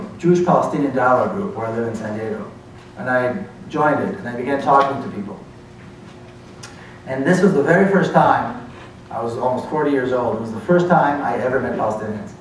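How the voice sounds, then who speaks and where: A man's voice: medium pitch, a little hiss or hum. A man in his forties from Israel with an Israeli accent, earnestly telling his story in a university lecture. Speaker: speaker. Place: lecture hall. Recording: echoey hall from far off.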